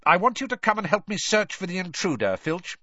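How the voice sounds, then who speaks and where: A man's voice: sits high, very animated, a little fast, sharp tone, no echo, dull audio, pitched above the performer's own voice, heavily acted. A man voicing a cold, cutting male professor who talks in clipped, snapping bursts.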